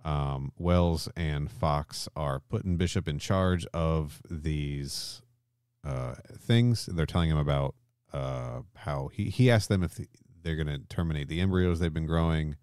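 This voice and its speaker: A man's voice: rumbling low, a little slow, darkish tone, clean tone, no echo, studio quality. A man hosting a podcast, casually recapping a story in colloquial, mildly sweary language and often checking that his listener agrees. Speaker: podcast host.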